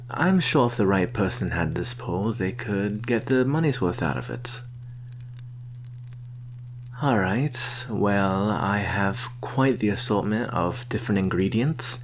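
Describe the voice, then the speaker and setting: A man playing a sly vampire posing as a traveling merchant, soft-spoken and coaxing in an ASMR roleplay. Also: fairly low pitch, animated, a little hiss or hum. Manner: soft-spoken, coaxing, deliberate with sighs and soft chuckles.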